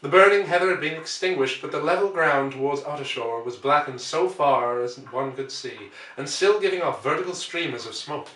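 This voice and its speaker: A man reading a classic novel aloud as an audiobook narrator, with measured, deliberate phrasing. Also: fairly high pitch, fairly bright tone. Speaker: audiobook reader. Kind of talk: dramatic literary narration. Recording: roomy, distant microphone.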